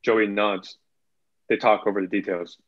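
A young man reading screenplay stage directions in a plain, measured way as narrator. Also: fairly low pitch, flat delivery. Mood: measured, neutral. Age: young adult. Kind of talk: scripted narration, table read. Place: small room at home, on video call. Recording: dull video call audio.

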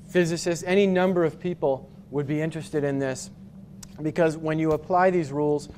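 A man from New England giving a conference talk with slides, explaining step by step with frequent pauses and restarts. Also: a little high, slight background noise. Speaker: conference speaker. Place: hotel conference room with projector screen.

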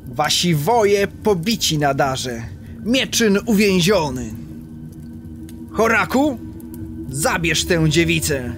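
Man as a slow, domineering warlord. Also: fairly high pitch, very animated, over music. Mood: commanding. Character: domineering, threatening warlord of legend. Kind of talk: comic-book radio play acting.

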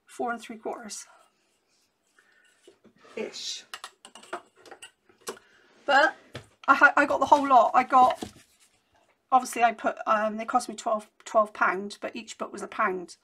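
Hoarse, chatty woman. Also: on the high side, sharp tone, a little hiss or hum. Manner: rambling and chatty, many restarts. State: losing her voice, hoarse. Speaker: crafting vlogger. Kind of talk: casual haul chat. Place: home craft desk, indoors.

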